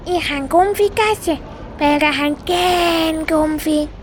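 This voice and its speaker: A little radio-play bear acted by a female, high-pitched and courteous, with a Swiss German accent. He speaks in an earnest, slightly hesitant way. Faint noise sits behind the voice. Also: measured pace. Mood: polite. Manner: polite, hesitant. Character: small polite bear from Peru, earnest, childlike stowaway. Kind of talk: amateur radio play acting.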